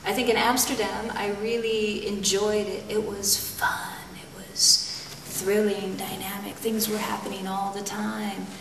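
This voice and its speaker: A woman in her forties from the United States, a painter talking about her work in her studio, musing and unhurried, often laughing. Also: medium pitch, flat delivery, vocal fry, fairly bright tone, a little hiss or hum. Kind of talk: relaxed interview talk. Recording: echoey studio, mic far off.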